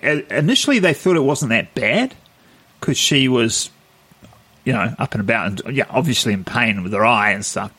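NZ male co-host, mid-pitched, laid-back anecdotes with frequent laughs. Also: fairly bright tone. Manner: easygoing storyteller, laughs often. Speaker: podcast co-host. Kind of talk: casual chat.

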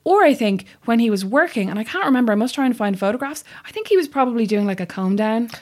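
A woman who hosts a casual chat, asking curious questions and jumping in with quick interjections. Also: on the high side, expressive, a little mellow.

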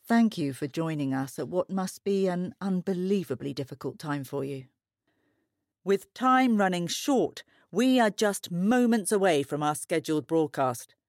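A serious television news anchor in an audio drama. She is composed, formal and measured, with a lowish voice in a dry, close recording. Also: animated, darkish tone, no echo. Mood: solemn. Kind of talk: broadcast news presenting.

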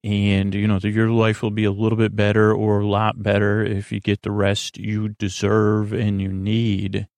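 A male podcast host with a creaky, gravelly voice, recorded close and dry. He talks casually in a halting, wandering way, drifting into tangents as he eases listeners toward bedtime. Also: lowish voice, monotone, a little mellow, dry recording. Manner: meandering, halting, trails off into tangents. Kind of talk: rambling bedtime podcast intro, casual monologue.

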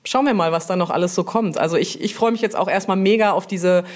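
A woman chatting informally as an interview guest, often pausing to find her words mid-sentence. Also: lowish voice, darkish tone. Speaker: brand manager, podcast guest. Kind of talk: casual interview chat.

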